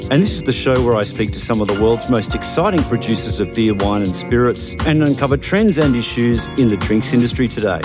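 A man hosting a drinks podcast, presenting his show smoothly and asking interview questions. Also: medium pitch, expressive, brisk, hardly any pauses, music in the background. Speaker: podcast host.

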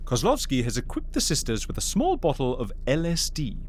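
An actor playing an excitable, scatterbrained and playful schemer in a comedy audio drama, giggly and theatrical. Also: medium pitch, fairly quick, a little hiss or hum. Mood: gleeful scheming.

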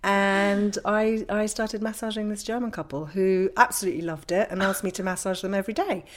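A woman's voice: medium pitch, steady tone, fairly quick. A woman in her fifties with a London accent, a podcast guest telling anecdotes in a casual, conversational way. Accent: London English. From London, England. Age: 50s. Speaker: interview guest, craniosacral therapist.

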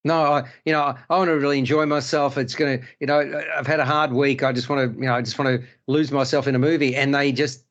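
A man's voice: medium pitch, quick pace, few pauses, muffled recording. A male podcast host and film critic, chatting casually and reviewing films with many restarts. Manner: stammering restarts, animated.

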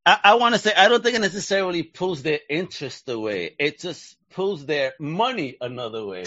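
A man's voice: fairly high pitch, very animated, normal speed, a bit bright, no echo. A middle-aged man hosting a podcast in casual, slangy, hyped-up chat, sprinkling in Spanish greetings. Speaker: podcast host. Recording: dull lo-fi sound.

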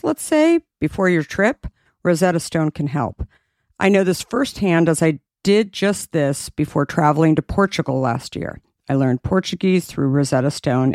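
A woman's voice: darkish tone, no echo, clean studio sound. A woman podcast host reading sponsor ads in an upbeat, persuasive way. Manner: upbeat, persuasive sales pitch. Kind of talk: read ad.

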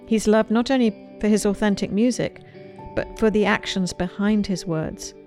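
A woman hosting a show, delivering a scripted, earnest introduction in a measured way. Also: medium pitch, darkish tone, soft background music. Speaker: host.

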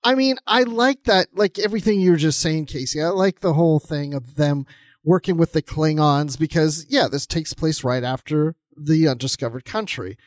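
A man with a fairly high voice co-hosts a podcast discussion. He speaks casually and offers his opinions freely. The sound is close and dry, with no audible room. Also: normal speed, no room sound. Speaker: podcast co-host.

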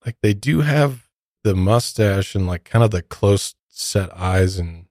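A low male voice, recorded dry with very little room sound. He co-hosts a podcast, mixing conversational true-crime commentary with prepared facts. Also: animated, measured pace, darkish tone, dry recording. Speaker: podcast co-host.

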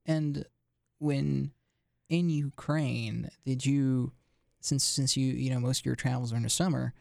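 A man hosting a podcast who asks questions in a halting way, restarting often. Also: mid-range voice, fairly even intonation, vocal fry, a little mellow, dry recording, studio quality. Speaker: podcast host. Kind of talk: casual interview conversation.

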